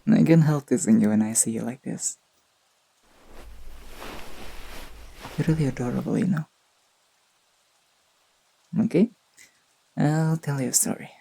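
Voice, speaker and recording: A performer voicing a gentle, teasing young boyfriend in an intimate sleep-aid roleplay, speaking softly and tenderly with a breathy, slightly husky voice. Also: medium pitch, normal speed, pauses often, poor audio. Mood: tender, amused. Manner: tender, playful, murmured delivery.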